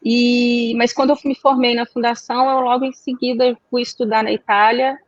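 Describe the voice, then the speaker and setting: A woman, a guest answering interview questions in a measured, reflective way. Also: on the high side, little pitch movement, no room sound. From Minas Gerais, Brazil. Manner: measured, reflective, searching for words. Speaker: guest, chamber of commerce executive. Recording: dry, dull recording.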